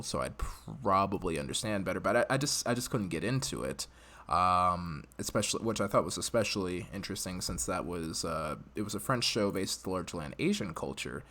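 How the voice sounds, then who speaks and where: A man's voice: low voice, fairly bright tone. An American man hosting a live podcast, chatting casually and giving his opinions in a conversational way. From United States. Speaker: podcast host.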